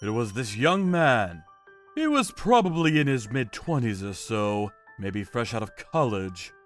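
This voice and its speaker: A man giving dramatic first-person narration as a calm, righteous, menacing vigilante in an animated manga story. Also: medium pitch, measured pace, faint music behind. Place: studio voice-over with music behind.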